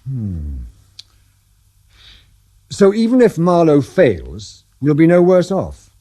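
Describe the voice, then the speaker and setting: A gruff senior police superintendent in a radio play. He sounds brusque and skeptical, with a slightly raised pitch and lively intonation. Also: takes it slowly, exaggerated voice. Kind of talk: radio drama acting.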